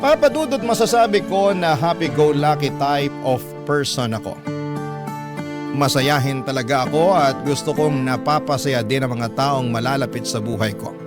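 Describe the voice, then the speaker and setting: A man's voice: mid-range voice, normal speed, over loud music. A man with a Filipino accent narrating a listener's letter emotively as a radio host. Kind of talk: dramatic radio storytelling narration.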